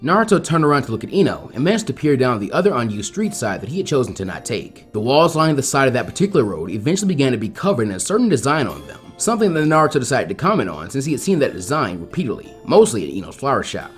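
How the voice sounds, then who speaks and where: A man reading a story aloud as narrator, in an animated scripted style. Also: mid-range voice, rapid-fire, hardly any pauses, soft background music.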